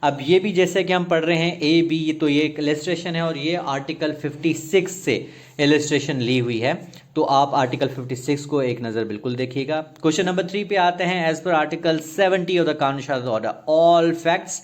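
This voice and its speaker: A man's voice: a little high, moderate pace. A man with a Pakistani accent teaching exam preparation, reading questions and options aloud and explaining them step by step while mixing in English terms. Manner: code-switches into English legal terms, paraphrasing step by step. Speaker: law exam tutor.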